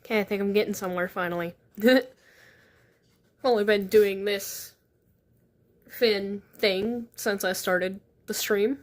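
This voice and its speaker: A woman's voice: medium pitch, steady tone, measured pace, long pauses. A woman streaming while crafting, reading chat questions aloud and answering casually, a little stuffed up and sniffly. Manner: reads chat questions aloud, chatty and laughing.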